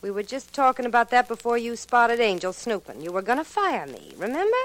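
A woman's voice: fairly high pitch, big pitch swings, brisk, rough recording. A woman acting a brassy, insolent nightclub singer and blackmailer with sassy, slangy delivery in a 1940s radio drama. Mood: scornful. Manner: sharp, sassy, slangy wisecracking.

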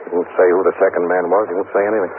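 A brisk, blunt detective lieutenant with a very deep voice who talks fast, as an actor plays him. It comes through a tinny, narrow old radio broadcast with clear hiss. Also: male voice, level tone, natural voice. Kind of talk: 1950s radio drama acting.

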